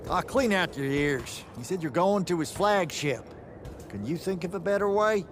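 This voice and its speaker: A man playing a wry, folksy older battlemage veteran in a video game, talking in a colloquial, gruffly avuncular way. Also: high-pitched, animated, normal speed, background noise. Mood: exasperated, urgent.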